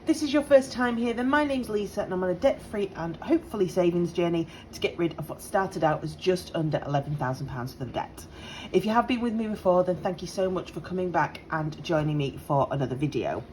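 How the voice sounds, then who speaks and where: Slightly croaky British woman, chatty vlog. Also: on the low side, animated, a little fast, a little mellow, rough recording. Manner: chatty, apologetic, many ums and restarts. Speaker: budgeting vlogger. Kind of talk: casual direct-to-camera update. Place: home on a Sunday morning. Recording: faint appliance hum behind. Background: dishwasher and washing machine running.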